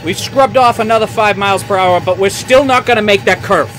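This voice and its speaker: An actor playing an anxious, practical train engineer in an old-time radio drama. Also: male voice, sits high, fairly quick, fairly bright tone, faint music behind. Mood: worried.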